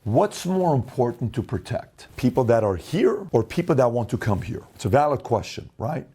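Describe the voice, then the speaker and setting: A middle-aged man delivers an argumentative monologue, pressing his points with emphasis. His voice is warm and mellow, and he slips into mocking quotes of the other side. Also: mid-range voice, big pitch swings, a little hiss or hum. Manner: emphatic, argumentative, acts out opponents in quick quoted asides. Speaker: host. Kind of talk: opinion monologue to camera.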